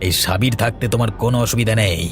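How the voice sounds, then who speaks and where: A man's voice: fairly low pitch, racing, music in the background, natural voice. A man playing a sinister, curt villager who gives commands, in a horror cartoon. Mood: scheming, commanding.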